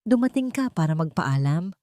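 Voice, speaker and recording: Villain for an audio drama: a gloating, scheming grandmother, pitched up and sneering, as a woman puts her on. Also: sing-song, moderate pace, warm tone, no room sound, clean studio sound.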